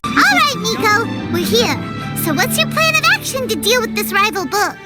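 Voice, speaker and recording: A woman voicing a small, excitable female fairy companion who refers to herself by name, in a video game. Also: very high-pitched, normal speed. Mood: worried. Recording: game audio with loud music.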